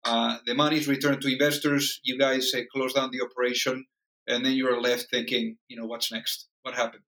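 A man's voice: medium pitch, level tone, a little fast, bright, forceful tone. A man hosting a podcast, asking questions and affirming answers with "I hear you" and "got it," often repeating words as he goes. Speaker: podcast host. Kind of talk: interview questions.

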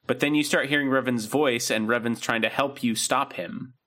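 A man's voice: mid-range voice, steady tone, normal speed, fairly bright tone. A man hosting a podcast in casual chat, explaining things in an informal, rambling way. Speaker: podcast host.

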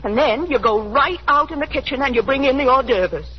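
A woman's voice: high voice, brisk, breathy edge. An actress playing a fussy middle-aged mother with affectedly gracious, chattering manners in a 1930s radio drama, on a muffled noisy broadcast. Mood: instructing. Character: fussy, genteel middle-aged mother putting on social airs.

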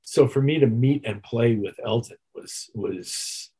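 Male musician as interview guest: husky, medium-pitched voice, reflective and measured delivery. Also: warm tone.

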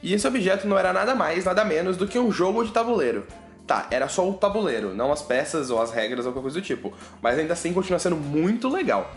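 A man's voice: sits high, big pitch swings, fast talker. A man with a Brazilian Portuguese accent hosting a casual science news podcast, chatty and joking with listeners. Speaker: podcast host. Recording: roomy echo with faint music.

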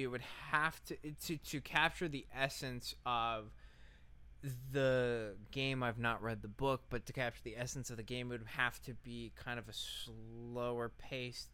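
A man's voice: medium pitch, pushed and bright, smooth. A man co-hosting a podcast, giving opinionated reactions in casual chat, emphatic and sweary, often repeating himself. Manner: emphatic, repetitive, casually profane. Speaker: podcast co-host.

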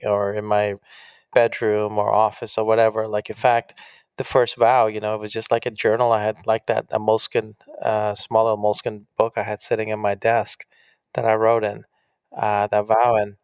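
Author guy chatting, gravelly fry, dry close sound, earnest and keeps restarting. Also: lowish voice, no echo. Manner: earnest, animated, self-interrupting. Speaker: author and guest. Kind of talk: casual interview chat.

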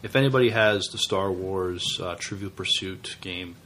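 A man's voice: deep voice, moderate pace, a bit bright, a little hiss or hum. A man co-hosting in casual chat, speaking in an offhand, somewhat hesitant way. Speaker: podcast co-host.

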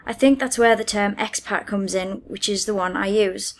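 A British woman co-hosting a podcast, reading messages aloud and chatting in a halting, hesitant way. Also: mid-range voice. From United Kingdom. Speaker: podcast co-host.

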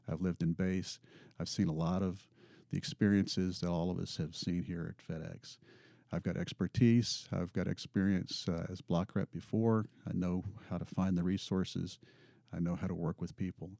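Gravelly low-voiced man, earnest. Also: mellow, no room sound, studio quality. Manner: earnest, repeats words when thinking. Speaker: pilot union rep. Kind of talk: interview answers.

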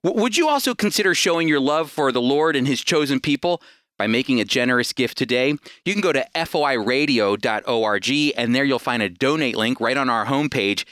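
Earnest, bright-voiced male radio Bible teacher. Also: medium pitch, animated, a little fast, dry recording, studio quality. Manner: earnest conversational preaching with fillers.

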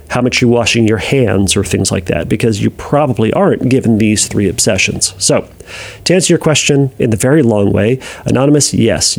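A man in a mid-pitched voice, talking as a therapist who takes listener questions on a podcast. He stumbles into his points with lots of fillers and restarts, then settles into steady explanation. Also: creaky. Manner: hesitant, many fillers and restarts; reads listener letters aloud.

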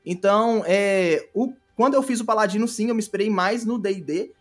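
A Brazilian man speaking as a podcast guest, explaining casually in a halting way, repeating words and often checking for agreement. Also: high voice, faint music behind. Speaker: podcast guest, audio drama creator.

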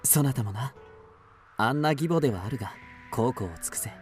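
A man's voice: mid-range voice, animated, normal speed, a little mellow, soft background music, close to an everyday voice. Earnest young samurai, played by a man, courteous and resolute. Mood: resolute, tender. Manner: formal, archaic, courteous speech. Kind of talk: period radio drama acting.

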